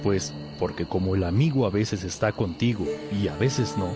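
A man's voice: deep voice, moderate pace, lightly acted. Man playing an earnest lovesick pupil, music behind. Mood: thoughtful. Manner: earnest, hesitant, respectful. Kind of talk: religious radio drama dialogue.

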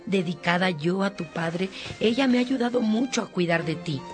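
A woman playing a gentle, dignified mother who speaks affectionately and instructively in a radio drama. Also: medium pitch, moderate pace, faint music behind, natural voice. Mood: gentle, guiding. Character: gentle, dignified mother guiding her daughter.

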